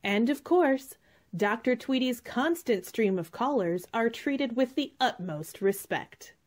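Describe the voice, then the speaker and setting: A woman's voice: fairly high pitch, animated, slow, darkish tone. A woman announcing and narrating an old-time radio comedy from a script.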